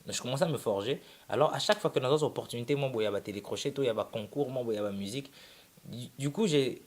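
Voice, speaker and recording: A man's voice: mid-range voice, racing. A young man from Congo-Kinshasa, a musician telling his story in a casual, rambling way, often restarting his sentences. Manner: relaxed, rambling, with many restarts. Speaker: musician guest. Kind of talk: casual interview, mixing French and Lingala.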